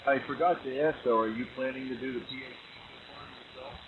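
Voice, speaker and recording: A man's voice: mid-range voice, fairly even intonation, moderate pace. A man chatting casually as an amateur radio operator, heard over a ham radio transmission. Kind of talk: casual on-air radio chat. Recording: muffled, very noisy ham radio signal.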